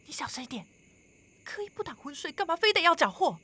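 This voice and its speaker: A woman's voice: very high-pitched, very animated, fast talker, pushed and bright, full character voice. A woman playing a worried, hard-pressed working mother and wife, pleading and defensive. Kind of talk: radio drama acting.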